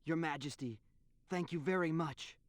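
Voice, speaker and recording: An actor playing a sincere, troubled young hero in a video game, speaking earnestly and politely. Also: male voice, a little high, normal speed, no room sound, natural voice. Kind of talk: scripted video game voice acting.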